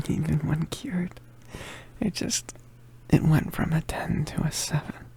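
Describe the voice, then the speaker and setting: A woman playing a gentle, protective young male witch who is hurt and vulnerable, speaking in halting, breathy, whispered ASMR roleplay. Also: fairly low pitch, heavily acted, rough recording. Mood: weak, grateful. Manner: halting, stammering, hesitant. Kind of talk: ASMR roleplay, soft intimate acting.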